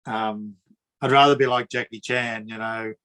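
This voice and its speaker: A man with a drawling Queensland Australian accent, hosting a relaxed interview in a friendly, chatty way and often repeating words. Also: lowish voice, normal speed, clear, resonant tone, rough recording. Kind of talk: casual conversational interview.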